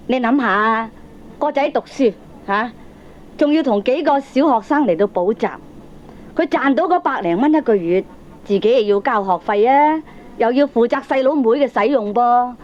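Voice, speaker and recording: A woman playing a sharp-tongued middle-aged gambling wife in a comedy radio drama, scolding sarcastically in Hong Kong Cantonese. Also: fairly high pitch, lightly acted. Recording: dull old cassette radio drama recording.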